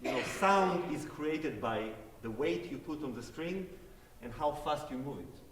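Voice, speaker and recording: A man's voice: fairly high pitch, lively intonation, measured pace, a little hiss or hum. A man whose first language is Hebrew, a guest cellist answering audience questions in a friendly, explanatory way and demonstrating as he talks. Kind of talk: Q&A talk with a concert audience. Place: concert hall. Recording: echoey hall, voice from far off.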